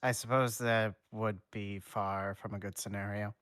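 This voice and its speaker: A man voicing a stern but caring schoolteacher in a fan fiction reading, slow, formal and measured. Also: fairly low pitch, fairly even intonation, a bit bright, smooth, dry recording, clean studio sound. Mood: mildly stern.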